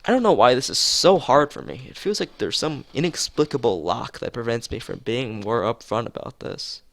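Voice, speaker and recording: A man's voice: mid-range voice, expressive, normal speed. A man streaming a visual novel, reading the story aloud expressively with occasional asides.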